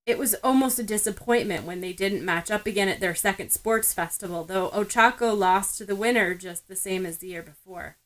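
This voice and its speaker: Woman narrating a story, medium pitch. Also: fairly quick, few pauses, a bit bright, rough recording. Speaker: podfic narrator.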